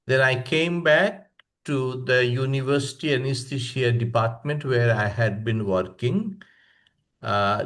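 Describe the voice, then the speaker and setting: Slow-talking older Bangladeshi man, halting and reflective. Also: mid-range voice. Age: 60s or older. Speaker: professor of palliative medicine, guest speaker. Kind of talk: informal webinar presentation. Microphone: online video call.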